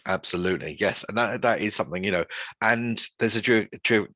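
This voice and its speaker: A man speaking unscripted as a guest in a webinar discussion, halting and often rephrasing mid-sentence. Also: fairly low pitch, bright, forceful tone, no echo. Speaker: guest speaker presenting a survey report.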